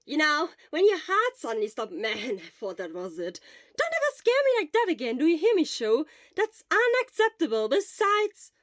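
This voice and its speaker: A woman voicing an excitable young man, a worried friend who scolds and exclaims in exasperation, in an audio story. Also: high-pitched, normal speed, dry recording, studio quality, voice pushed up, exaggerated voice. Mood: scolding, worried.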